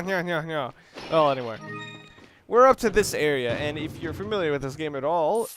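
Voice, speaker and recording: Higher-pitched man rambling through game commentary. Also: a bit bright, a little hiss or hum. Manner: halting, rambling, often rephrasing himself. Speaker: video game let's player. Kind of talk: casual gameplay commentary.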